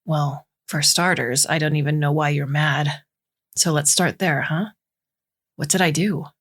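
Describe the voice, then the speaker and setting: A woman's voice: low voice, steady tone, unhurried, a bit bright. A defensive boyfriend argues his side in plain colloquial speech as a woman narrator plays him. He is exasperated and argumentative, in the manner of a dramatized audio story.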